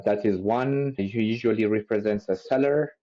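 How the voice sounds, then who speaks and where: A man who speaks Amharic as his first language, answering interview questions in a measured, earnest way. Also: on the low side, warm tone. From Ethiopian-Persian family, raised in the Middle East. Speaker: real estate agent, podcast guest. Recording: dull lo-fi audio.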